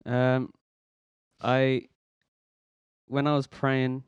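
A man, hosting a casual faith podcast in an earnest, halting way with many restarts. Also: mid-range voice, monotone, smooth, dry recording, lo-fi sound. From Philippines, raised in Australia. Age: 20s. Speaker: podcast host. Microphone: podcast microphone.

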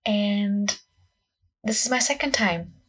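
A woman's voice: medium pitch, expressive, slow, fairly bright tone, breathy edge. A young woman of about twenty from Portugal, speaking with a Portuguese accent in a casual, rambling, self-conscious solo podcast chat, often saying "like". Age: young adult, about 20. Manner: self-conscious, scattered, peppered with "like". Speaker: podcast host, acting student.

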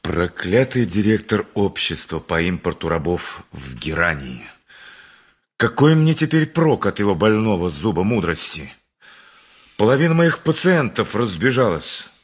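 Husky, aggrieved, self-pitying dentist. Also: male voice, lowish voice, big pitch swings, unhurried, heavily acted. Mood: bitter grumbling. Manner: complaining, melodramatic. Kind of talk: 1980s radio play acting.

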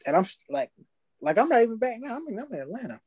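A young man, telling his story in casual podcast chat, slangy and animated, acting out his past thoughts. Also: extremely high pitch, fast talker, breathy edge, no room sound, studio quality.

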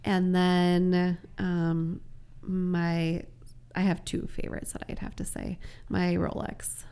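A woman chatting casually as a podcast guest, raspy as she gets over a cold. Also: fairly low pitch, completely flat delivery, takes it slowly, mellow. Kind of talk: casual chat.

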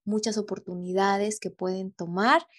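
A woman's voice: mid-range voice, steady tone, unhurried. A Mexican woman, a guest on a remote interview, talking casually with many hesitations and little rhetorical tags.

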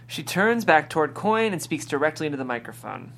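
A narrator reading screenplay stage directions in an even, descriptive manner. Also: mid-range voice, a little fast, creaky.

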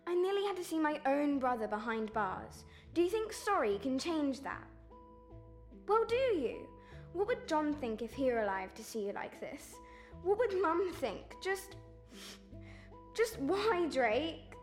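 Audio drama, hurt young sister, played by a woman: high, lively pitch, earnest and reproachful. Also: unhurried, soft background music. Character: young working-class sister, hurt, reproachful, pleading with her brother.

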